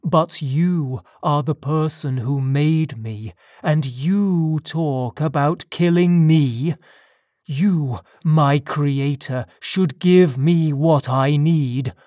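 A narrator voicing a lonely, wronged male monster in an audiobook story, pleading yet menacing, with slow, deliberate delivery. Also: mid-range voice, a little mellow, dry recording, clean studio sound. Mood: reproachful. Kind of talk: dramatised audiobook dialogue.

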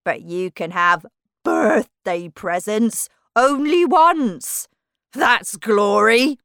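A woman voicing a pompous, touchy, boastful male egg in a storybook, speaking with slow, indignant emphasis. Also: mid-range voice, lively intonation, fairly bright tone, no echo, clean studio sound, pitched above the performer's own voice, full character voice.

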